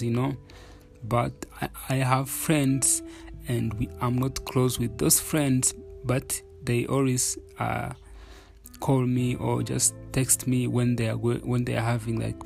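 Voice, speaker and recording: A man from Uganda talks through his thoughts in a candid, reflective way, stopping and restarting often. His voice is gravelly and sits in an even, flat band, with faint music playing underneath. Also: medium pitch. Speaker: podcast host. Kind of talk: casual solo podcast talk.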